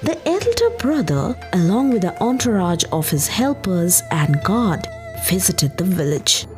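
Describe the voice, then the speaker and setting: A woman narrating a folktale for a podcast, with expressive, dramatic and deliberate phrasing. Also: medium pitch. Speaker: podcast storyteller. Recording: music behind the voice. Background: background music.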